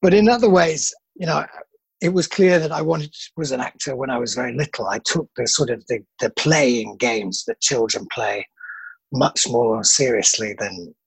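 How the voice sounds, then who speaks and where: Middle-aged actor, husky and gravelly, dry booth, reflective interviewee. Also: male voice, medium pitch, no echo, rough recording. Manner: self-deprecating, halting with restarts. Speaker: film actor.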